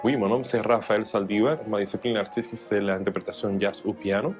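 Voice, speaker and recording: A man's voice: on the low side, fairly even intonation, fairly quick, faint music behind. A man from Cuba, a jazz pianist, speaking French as an in an interview, hesitant with many fillers and restarts. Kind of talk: interview testimony.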